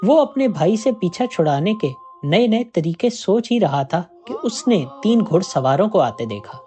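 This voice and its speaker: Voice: mid-range voice, mellow. A narrator reading a scripted moral tale as a storyteller.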